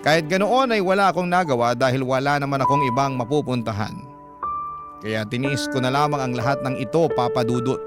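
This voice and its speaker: A man's voice: mid-range voice, brisk. Man narrating over music. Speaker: storyteller reading a listener's letter. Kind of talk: scripted dramatic radio-style storytelling narration.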